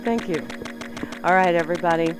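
Slightly low-voiced woman on talk radio. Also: a little fast, rough recording. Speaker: radio show co-host. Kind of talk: radio talk and sponsor reads. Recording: radio broadcast with music.

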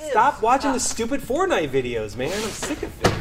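A man's voice: high-pitched, huge pitch swings, normal speed, very noisy. A man playing an exasperated teacher scolding students in an amateur film drama. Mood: irritated. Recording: music playing behind.

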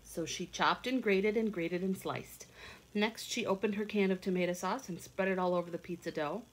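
A woman in her thirties reading a picture book aloud and explaining it to children in simple, expressive, teacherly phrases. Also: medium pitch, normal speed, rough recording. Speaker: children's read-along teacher. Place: indoors at home, kitchen and a room.

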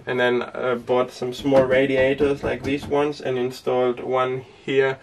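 A young man who grew up speaking Danish, chatting casually to camera inside a small sailboat, relaxed and rambling with lots of fillers. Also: medium pitch, a little slow, creaky, recorded from a distance, a little hiss or hum. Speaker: sailing vlogger. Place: inside a small sailboat cabin and cockpit tent.

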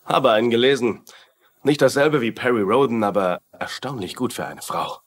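A man's voice: mid-range voice, normal speed, close to an everyday voice. An actor playing a boastful, self-important small-town lawman who loves westerns, in a German-dubbed TV crime drama.

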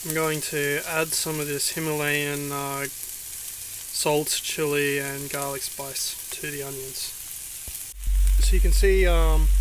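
A man's voice: a little high, fairly bright tone, slight background noise, poor audio. An Australian man talking casually through a cooking walkthrough inside a small van, with many ums and restarts. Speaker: vlogger.

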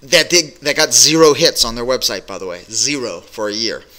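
A middle-aged man with a very bright, sharp voice gives business advice to his webcam. He talks directly and energetically, with frequent uhs and ums. He sits at a desk in a small home office. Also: medium pitch, moderate pace. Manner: energetic, direct, many fillers. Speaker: business coach.

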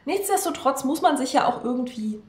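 A woman's voice: high-pitched, animated, a little fast, darkish tone, roomy sound. A young woman vlogger talking enthusiastically to camera about her favourite classics, with frequent fillers, recorded at desk distance in front of bookshelves. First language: German. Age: young adult. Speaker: book vlogger. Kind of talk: casual book talk to camera. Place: room with bookshelves behind her.

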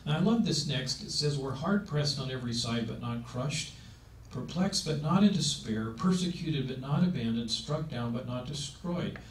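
A man's voice: mid-range voice, expressive, moderate pace. A seventy-year-old man preaches on aging with emphatic, conversational delivery and frequent rhetorical questions, talking into a handheld mic. His voice is recorded somewhat distant from the mic in a roomy meeting hall. A faint background hiss runs underneath. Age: 70s. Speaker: speaker on aging. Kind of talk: sermon-style talk. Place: meeting room.

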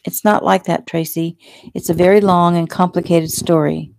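Woman acting a low, weary, earnest young man. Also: fairly even intonation, gravelly, darkish tone, dry recording. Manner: halting, placating, earnest.

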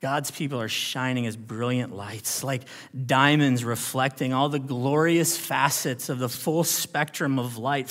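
A man delivering a sermon as a pastor, with a dramatic delivery that moves between hushed and emphatic. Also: mid-range voice. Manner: dramatic, swinging from hushed near-whispers to loud emphatic declarations; rhetorical questions and check-ins with listeners. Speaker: pastor preaching. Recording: long hall reverb.

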